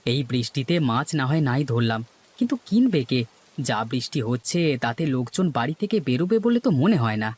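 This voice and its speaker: A man's voice: mid-range voice, big pitch swings, moderate pace, a little mellow, breathy edge. A man voicing a worried, suspicious poor village fisherman and husband in a cartoon. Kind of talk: Bengali cartoon voice acting.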